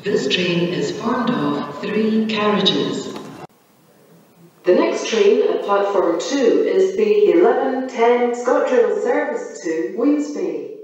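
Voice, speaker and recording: Echoing, slow-paced female station announcer. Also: medium pitch, animated, darkish tone, lo-fi sound, faint music behind. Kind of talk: automated public announcements, scripted and formal. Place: heard over loudspeakers in a railway station. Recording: echoey station PA, long reverb, distant mic.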